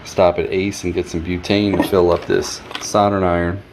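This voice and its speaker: A man's voice: deep voice, flat delivery, normal speed. A man casually narrating his hands-on repairs in a wry, joking way. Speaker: host, DIY repair vlogger. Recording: clear background noise.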